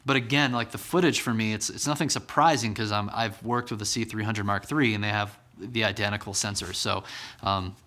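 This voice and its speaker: A man's voice: fairly low pitch, fairly even intonation, a little fast, sharp tone. A man in his thirties hosting a casual gear review to camera, talking conversationally with fillers and restarts, recorded in a small studio room on a camera-mounted mic. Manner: conversational, many ums and restarts, enthusiastic. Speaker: church media channel host. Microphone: camera-mounted microphone.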